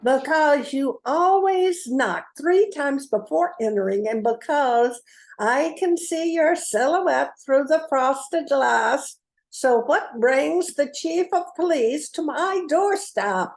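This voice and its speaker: A woman's voice: high voice, lively intonation, slow, exaggerated voice, poor audio. A woman playing a grown-up, no-nonsense female private eye in a comic radio play, wry and matter-of-fact.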